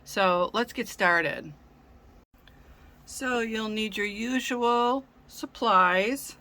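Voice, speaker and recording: A woman hosting a casual craft tutorial, speaking gently with frequent ums, her voice a little raspy from a sore throat. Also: on the high side, extremely slow. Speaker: craft channel host.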